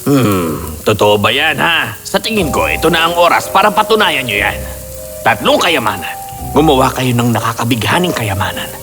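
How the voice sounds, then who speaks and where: A man's voice: medium pitch, big pitch swings, moderate pace, natural voice. A man playing a sly, mischievous trickster god in a fairy tale, with theatrical, scheming delivery. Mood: sly, coaxing. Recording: loud background music.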